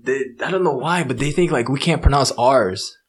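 A man in his twenties, a professional soccer player, chatting casually as a guest, relaxed and swearing freely. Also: mid-range voice, normal speed, a little hiss or hum. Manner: relaxed, swearing, animated when retelling. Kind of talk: casual chat.

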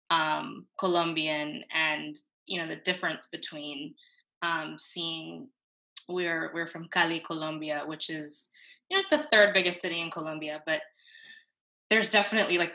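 Gravelly, bright-toned New woman. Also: sits low, rough recording. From New York City. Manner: thoughtful, halting, with many fillers and restarts. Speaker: interview guest. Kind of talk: casual reflective conversation.

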